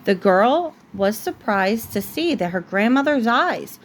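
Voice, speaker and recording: A woman reading a children's bedtime story aloud like a parent, with expressive storytelling. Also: on the high side, a little slow, slight background noise.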